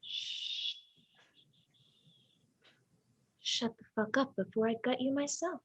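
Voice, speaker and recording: Woman acting a high-voiced, sweetly sinister young woman. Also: normal speed, a bit bright, husky. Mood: vicious. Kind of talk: dramatized live script reading. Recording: slightly dull livestream audio.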